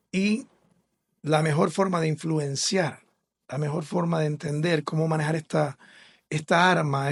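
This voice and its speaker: Earnest Ecuadorian man, fairly high voice. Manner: earnest, explanatory, with fillers and restarts. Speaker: school administrator. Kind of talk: podcast interview.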